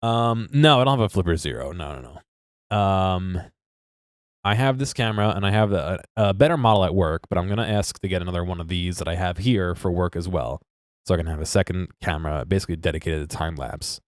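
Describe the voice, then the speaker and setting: Congested streamer, deep voice, rambling chat, dry close mic. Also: male voice, fairly quick, no echo. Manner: rambling, self-interrupting, laughs at himself. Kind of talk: casual live-stream chat.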